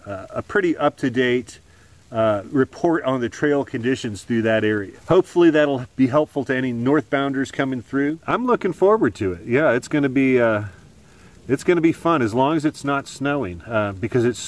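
A middle-aged man hosting a hiking vlog, talking to the camera under a tarp outdoors in the woods in a relaxed, chatty way with lots of "uh"s. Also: mid-range voice, normal speed. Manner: relaxed and chatty, many filler "uh"s. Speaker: hiking vlogger. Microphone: camera at arm's length.

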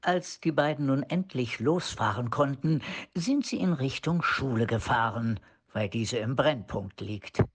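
A man's voice: mid-range voice, a little slow, breathy edge. A man reading scripted narration for a radio play.

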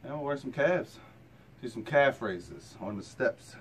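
A man's voice: medium pitch, lively intonation, measured pace, slight background noise. An American man in his thirties chatting casually to his own camera in a blunt, colloquial, grumbling way. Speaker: vlogger. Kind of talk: casual vlog chat. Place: home gym, kitchen, then moving car. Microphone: handheld phone or camera at arm's length.

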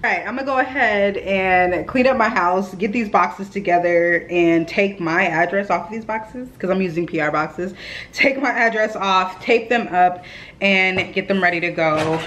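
A woman's voice: medium pitch, normal speed, fairly bright tone, a little hiss or hum. Young woman, casual chatty vlogger talking straight to her viewers, recorded in a roomy echoey house. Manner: chatty, addresses viewers as y'all. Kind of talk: casual vlog chat. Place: at home, echoey rooms. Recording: echoey home room, faint ambience.

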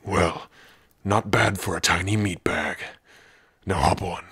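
Man voicing a deep, raspy, teasing orc. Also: sharp tone, no room sound, full character voice. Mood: gruff, commanding. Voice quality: gravelly, rough, husky. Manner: gruff, teasing, unhurried. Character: big gruff male orc, teasing, secretly soft-hearted. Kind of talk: ASMR roleplay addressed to the listener.

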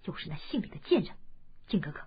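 A woman voicing a clever young heroine who speaks low and firm, in a dull lo-fi recording. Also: very animated, quick pace, breathy edge, full character voice. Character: clever young heroine, fierce when angered.

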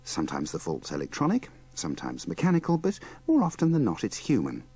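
A very deep-voiced British man hosts with scripted narration. His delivery is wry and swoops expressively, with a husky edge. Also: wildly swooping pitch, fairly quick, dark tone. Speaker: compilation host.

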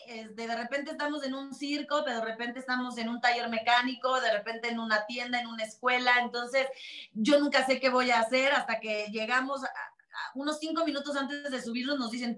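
A woman in her forties with a Mexican accent, Catalan her first language, talks in a chatty, animated way with plenty of restarts. Her voice sits a little high. The video call picks up a roomy echo around her. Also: brisk. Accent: Mexican Spanish. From Spain. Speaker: interview guest, TV presenter. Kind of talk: casual interview chat. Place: small room, video call.

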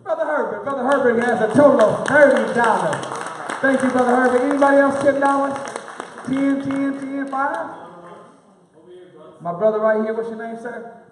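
A young man leads a fundraising appeal from the pulpit, calling out names and amounts in a steady rhythm. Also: very high voice, normal speed, a faint crowd. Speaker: minister leading a fundraising appeal. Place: large hall with an audience. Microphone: handheld microphone recorded from a far camera. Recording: echoey hall audio from far off.

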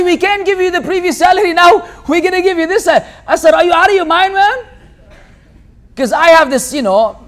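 A man with a strikingly high-pitched voice lectures from a table in a hall, speaking English with an American-sounding accent. He talks with lively energy and acts out the people he quotes. A small tabletop mic picks him up. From Lebanon. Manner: animated, gesturing with both hands, acts out quoted people. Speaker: Islamic lecturer. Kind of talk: public lecture. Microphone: small tabletop mic on a tripod.

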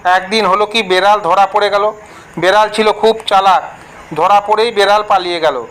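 High-voiced male storyteller. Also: moderate pace, rough recording. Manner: steady, storytelling. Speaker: narrator. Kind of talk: scripted narration of a children's fable.

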